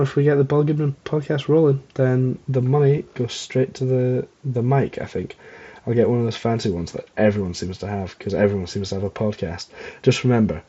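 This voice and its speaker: A man's voice: fairly low pitch, dark tone, dull audio. A young man with a Scottish accent rambling casually and self-deprecatingly as he hosts a solo podcast. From Scotland. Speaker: podcast host.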